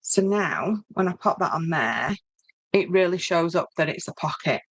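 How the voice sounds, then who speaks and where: A woman with a Yorkshire accent and a fairly low voice, recorded close and dry. She talks viewers through a hands-on craft in an informal way, thinking aloud and checking herself with little tag questions. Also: animated, moderate pace, husky, no room sound. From Yorkshire, northern England. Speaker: craft tutorial host. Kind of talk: informal live craft demonstration, chatting to viewers.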